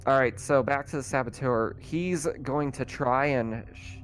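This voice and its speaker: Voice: medium pitch, measured pace, a little hiss or hum. A game master explaining rules and outcomes conversationally while running a tabletop roleplaying game.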